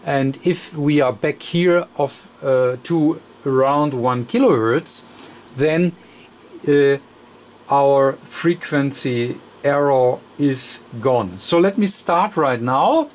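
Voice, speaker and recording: A man demonstrating a radio repair at his workbench, talking haltingly with many fillers and counting aloud as he adjusts. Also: mid-range voice. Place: electronics workbench.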